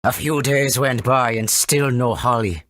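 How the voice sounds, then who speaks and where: A man voicing a folksy, cautious elder of a furry forest tribe in a video game, with a gravelly, husky voice and dramatic storytelling manner. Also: mid-range voice, unhurried, a bit bright, no room sound, clean studio sound, natural voice. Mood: sorrowful, resigned. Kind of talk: video game character acting.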